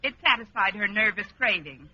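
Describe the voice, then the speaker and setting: An actress playing a wry, wisecracking schoolteacher in a vintage radio sitcom, tossing off drawn-out deadpan asides. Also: female voice, medium pitch, close to an everyday voice. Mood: placating. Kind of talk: 1940s-50s radio sitcom acting. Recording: muffled old radio recording, loud noise, clicks.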